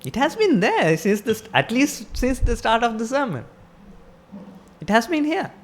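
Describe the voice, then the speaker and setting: A woman's voice: fairly high pitch, big pitch swings, normal speed. A woman with a Sri Lankan accent giving a Buddhist sermon, explaining step by step and asking her listeners rhetorical questions. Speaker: Buddhist teacher.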